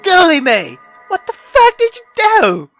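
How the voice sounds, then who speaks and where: Animated, high-voiced man reading a visual novel. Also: unhurried. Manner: theatrical, excitable. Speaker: gaming YouTuber. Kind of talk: let's play, visual novel read-aloud with reactions. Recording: music behind the voice. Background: background music.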